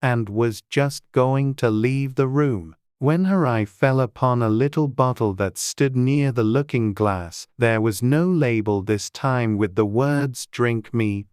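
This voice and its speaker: A man narrating a storybook audiobook in a gentle, measured, good-humoured way. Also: medium pitch, clean tone, dry recording, clean studio sound.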